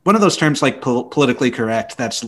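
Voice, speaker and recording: A man's voice: mid-range voice, animated, fast, fairly bright tone. A man co-hosting a podcast, giving his view conversationally in a casual panel discussion. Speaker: library podcast co-host.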